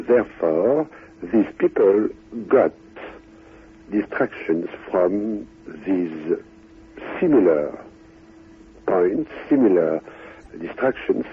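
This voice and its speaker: Very husky, slow Frenchman on old radio. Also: sits low. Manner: halting, deliberate, in short phrases. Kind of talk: unscripted expository talk. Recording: tinny, band-limited radio recording.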